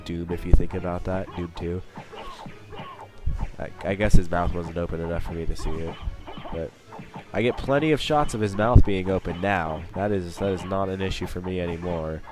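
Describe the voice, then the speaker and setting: Young male let's-play host, bass-low voice, stuffy with a cold, rambling and wry. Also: moderate pace, music in the background. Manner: rambling, self-mocking, chatty. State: sniffling from a cold. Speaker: gaming channel host. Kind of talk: let's play commentary over gameplay.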